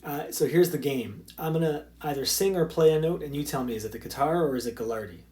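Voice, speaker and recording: A male high school physics teacher talking through a recorded lesson in a casual, loose way, with lots of fillers and restarts. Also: fast, a little mellow.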